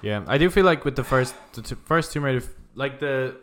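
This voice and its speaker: A man speaks in a medium-pitched voice as a podcast co-host. His delivery is conversational and informal, like a relaxed group discussion. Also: brisk, slight background noise. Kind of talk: casual film discussion chat.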